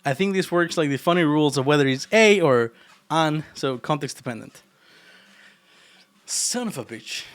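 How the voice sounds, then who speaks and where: A man's voice: fairly high pitch, animated, normal speed. Playful Argentine man chatting on stream. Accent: Argentine Spanish. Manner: playful and teasing, laughs often. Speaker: programming livestreamer. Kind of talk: casual chat with live chat.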